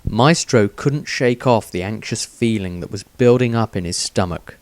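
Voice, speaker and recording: Man narrating fantasy, dry and deliberate. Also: on the low side, dry recording. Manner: deliberate, dramatic. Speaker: author reading own story. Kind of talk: scripted fantasy audiobook narration.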